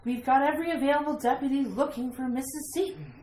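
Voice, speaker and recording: A woman's voice: sits high, quick pace, a little mellow, close to an everyday voice. A woman playing a flustered, exasperated sheriff in an old-time radio drama, blustering and exclaiming. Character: flustered, gruffly exasperated small-town sheriff. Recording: echoey room with faint noise.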